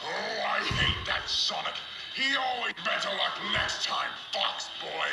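A man's voice: high-pitched, lively intonation, measured pace, creaky, roomy sound, music in the background, full character voice. An actor voicing a grandiose, scheming male villain in a video game, in a theatrical, declamatory way. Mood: ranting.

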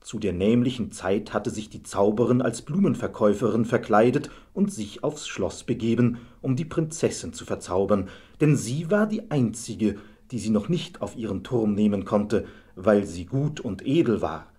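A man narrating a scripted fairy tale as a measured storyteller. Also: fairly low pitch, animated, mellow, husky.

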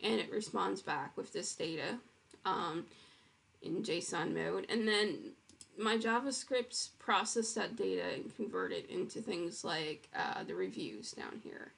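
A woman's voice: medium pitch, unhurried, gravelly, fairly bright tone, breathy edge. A woman walking through her own web project in a casual screen-recorded demo, explaining with frequent ums and restarts.

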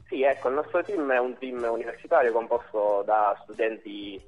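A young man explaining things haltingly in a phone interview. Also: medium pitch, fairly even intonation. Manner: hesitant, halting. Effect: telephone. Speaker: interview guest, university robotics team member. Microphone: telephone. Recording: tinny phone line, music underneath.

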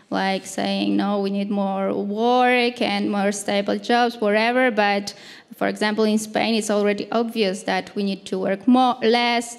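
A woman in her thirties answering as a panel speaker, haltingly searching for words, on a handheld microphone in an auditorium. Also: medium pitch, clean tone. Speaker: panel speaker, activist anthropologist. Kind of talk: unscripted answer at a panel discussion. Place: large dark auditorium stage. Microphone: handheld microphone held close. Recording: reverberant hall sound.

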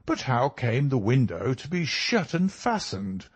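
A man's voice: medium pitch, very animated, measured pace, husky, pitched above the performer's own voice. A man voicing an eager, impressed police inspector in an audiobook, exclamatory and respectful. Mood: amazed. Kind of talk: audiobook character dialogue.

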